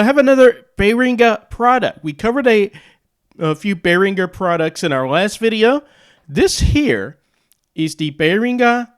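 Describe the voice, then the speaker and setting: A man with a fairly high, smooth voice presents a radio and audio gear demo. He talks casually and takes his time, laying out each point in short, halting phrases. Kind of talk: casual demo and explainer talk.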